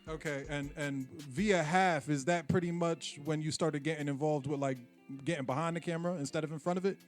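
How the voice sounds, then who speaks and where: A man's voice: on the high side, steady tone, a little fast, soft background music. A man hosting a casual radio interview, laid-back and slangy with lots of fillers. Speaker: radio show host.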